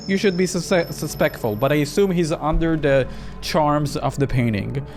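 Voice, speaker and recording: Animated man with a lively, higher voice. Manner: reactive, animated, acts out dialogue while reading. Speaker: gaming video host. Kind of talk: playthrough reading and live commentary. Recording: music behind the voice.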